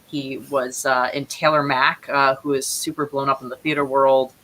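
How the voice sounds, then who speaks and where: A woman chatting casually as an interview guest, enthusiastic and halting as she feels out her phrases. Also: very deep voice, little pitch movement, fairly bright tone, low-quality recording. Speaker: guest playwright.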